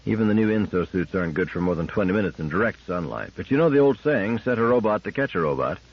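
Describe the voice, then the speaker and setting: Man playing a controlled, low-voiced engineer leader. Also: animated, quick pace, husky, dull audio, heavily acted. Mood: measured, reasoning. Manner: controlled, terse, reasoning. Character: level-headed, brown-mustached male team leader engineer.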